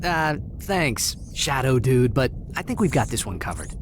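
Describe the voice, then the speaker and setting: An actor playing a young alien hero with a casual, wry manner. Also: male voice, mid-range voice, sing-song, moderate pace, natural voice. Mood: reluctant. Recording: noisy ambience behind.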